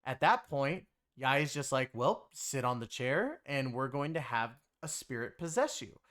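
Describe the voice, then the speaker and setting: A man's voice: medium pitch, expressive, a little slow, fairly bright tone. A man giving a casual, rambling recap of a video game story, with an emphatic, conversational delivery. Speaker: game streamer and story reviewer.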